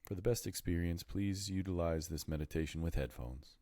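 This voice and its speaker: Very deep-voiced man guiding meditation. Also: warm tone, no echo. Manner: soothing, measured, deliberate phrasing with pauses. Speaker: meditation guide. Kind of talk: guided meditation.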